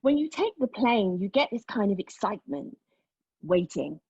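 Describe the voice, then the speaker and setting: Woman acting a reflective, wounded woman, husky voice, intimate stage monologue, deliberate pauses, dry muffled recording. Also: mid-range voice, big pitch swings, a little mellow, dry recording. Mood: excited, absorbed. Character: wounded, reflective woman recounting lost love and travel. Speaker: actor in a play table read. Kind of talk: dramatic monologue reading.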